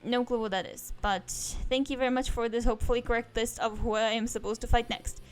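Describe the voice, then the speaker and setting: A woman's voice: fairly high pitch, steady tone, quick pace, slight background noise. Chatty woman streamer. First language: Czech. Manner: rambling and chatty, trails off into asides. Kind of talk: casual let's-play commentary.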